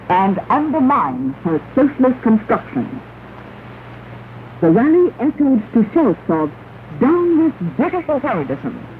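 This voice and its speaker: A woman radio announcer reading 1960s propaganda news in a formal, declamatory way with deliberate phrasing. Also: mid-range voice. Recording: scratchy 1968 shortwave radio, narrow band, hiss.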